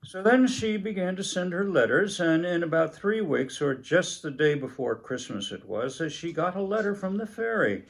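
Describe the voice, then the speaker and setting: An older man in his seventies reading a story aloud in a halting manner, pausing between phrases. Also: a little high, sing-song, creaky, rough recording. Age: older, about 70s. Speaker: story reader. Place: indoors, book-lined room at a table.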